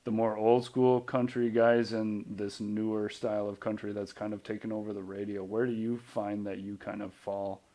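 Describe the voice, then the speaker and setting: A young man hosting an interview in a studio, asking relaxed, loosely worded questions and swearing casually. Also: lowish voice, moderate pace, hardly any pauses. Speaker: podcast host. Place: radio studio.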